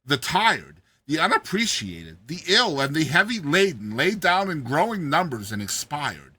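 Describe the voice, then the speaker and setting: A man giving a theatrical, expressive dramatized reading of a satirical short story as its narrator. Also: a little high, a little slow, husky. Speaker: story narrator, show host.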